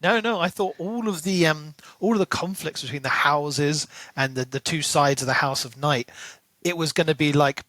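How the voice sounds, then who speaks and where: Higher-pitched man chatting about books, close dry sound. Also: fairly bright tone, no echo, poor audio. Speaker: podcast co-host. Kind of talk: casual book discussion.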